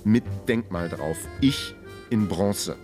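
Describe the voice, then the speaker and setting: A man's voice: low-pitched, slow pace, music in the background. An actor playing a trapped, wryly self-mocking man who narrates his own story in a radio crime drama. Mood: dreamy, wry. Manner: wry, matter-of-fact musing. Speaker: narrator and lead character.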